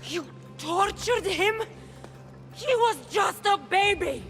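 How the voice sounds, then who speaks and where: A woman's voice: very high-pitched, animated, slow, fairly bright tone, soft background music, exaggerated voice. A woman playing a fierce, defiant young mercenary in a video game, blunt and intense. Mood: outraged. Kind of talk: dramatic video game voice acting.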